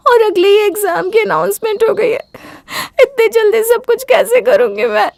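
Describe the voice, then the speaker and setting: Actor doing a very high, creaky, whining college girl. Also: steady tone, normal speed, no room sound, clean studio sound, full character voice. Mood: tearful, overwhelmed. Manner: whining, complaining. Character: distressed, self-pitying young female college student.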